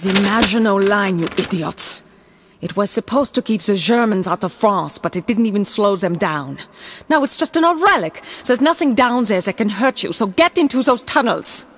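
A woman's voice: medium pitch, expressive, moderate pace, slight background noise, close to an everyday voice. A woman playing a cruel, mocking gang lieutenant in an audio comic drama. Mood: scornful, commanding.

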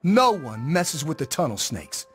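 A man's voice: on the high side, very animated, measured pace, faint music behind. A man voicing a cocky young gang member in a video game, boastful and bullying with a sneering swagger. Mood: hostile. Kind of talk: video game dialogue acting.

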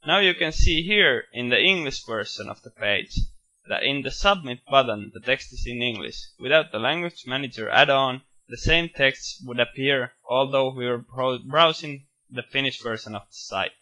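A man walking through a software screencast, explaining each step in a steady, matter-of-fact way with bits of enthusiasm. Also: mid-range voice, moderate pace, low-quality recording. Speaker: software tutorial presenter. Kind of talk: screencast walkthrough narration.

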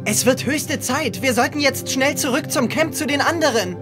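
A high cartoon schoolboy voice, played by an actor. He is cautious and sensible, a worrier in an audio drama, with music playing behind him. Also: normal speed, close to an everyday voice. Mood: worried.

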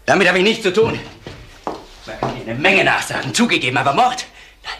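A man playing a shady, defensive billiard club boss in a crime radio play, gruff and insistent. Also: fairly high pitch, sing-song, fairly quick, a crowd in the background. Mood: indignant, defensive.

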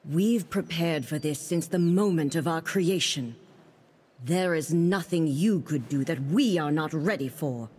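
A woman's voice: sits low, measured pace, darkish tone, slightly rough. A woman voicing an imperious celestial maiden in a video game, speaking formally and with haughty command. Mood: haughty, stern. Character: imperious cosmic maiden, celestial keeper of the stars. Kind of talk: video game character voice lines. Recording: long reverb on game voice.

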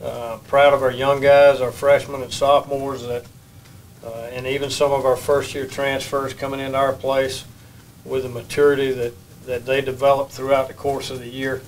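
Even-toned older coach at a mic. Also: male voice, medium pitch, a little hiss or hum, rough recording. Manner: measured, plain-spoken, many restarts. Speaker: head baseball coach. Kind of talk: postgame press conference. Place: press room. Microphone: table mic.

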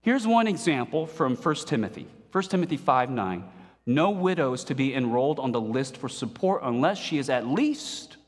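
A man in his forties preaching a sermon from a pulpit, animated and playful, asking the congregation questions. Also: mid-range voice. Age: 40s. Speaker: pastor. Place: church stage at a pulpit, indoors. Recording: long reverb of a hall.

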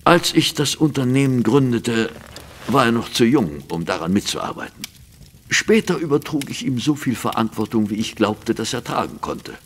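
A man's voice: medium pitch, big pitch swings, moderate pace, a little hiss or hum. An actor playing a grave, proper, practical businessman past middle age in a German audio drama, speaking formally and earnestly. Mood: earnest, explaining. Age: middle-aged.

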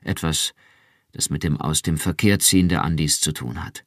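A man voicing a cautious husband who speaks carefully and quietly pleads. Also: a bass voice, no room sound, clean studio sound. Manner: careful, measured.

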